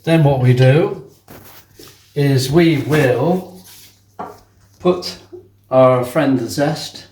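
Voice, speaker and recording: A man's voice: mid-range voice, extremely slow, darkish tone, poor audio. A man demonstrating a dessert in a kitchen, talking through each step with jokes. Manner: chatty, jokey, narrates each step. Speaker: guest cook. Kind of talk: cooking demonstration chat.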